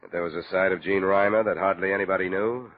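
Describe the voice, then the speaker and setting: Thin old radio sound, male actor as American detective, lowish voice, guarded, matter-of-fact, 1950s drama style. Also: steady tone, fast talker, phone-quality sound, natural voice. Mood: guarded, stern. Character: hard-boiled male insurance investigator, wry, guarded, narrating his case. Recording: thin band-limited old radio recording.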